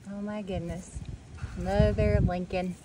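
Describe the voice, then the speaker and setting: A woman's voice: mid-range voice, flat delivery, measured pace. A woman chatting casually to viewers as she digs up metal detector finds in a churchyard, recorded on her phone. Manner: chatty, talks to viewers while working, coaxing finds. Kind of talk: casual narration while digging. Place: outdoors in a churchyard lawn. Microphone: phone camera held or mounted on the body. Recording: loud outdoor background noise.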